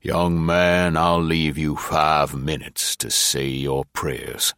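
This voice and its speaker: A man playing a calm, grimly murderous old farmer with a measured rustic drawl in an audiobook. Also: very deep voice, gravelly, clear, resonant tone, no room sound, clean studio sound, full character voice. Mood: ominous. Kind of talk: audiobook character acting.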